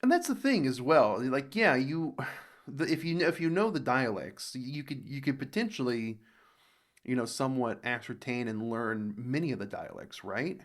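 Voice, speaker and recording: An American man hosting a reaction video, reading viewer comments aloud and thinking out loud with frequent restarts. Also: mid-range voice, animated, moderate pace. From United States.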